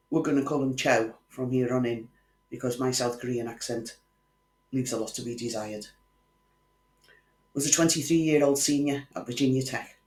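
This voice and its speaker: A man's voice: mid-range voice, a little mellow. A man hosting a true crime channel, telling a case in scripted narration with wry conversational asides to the viewer. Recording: echoey room.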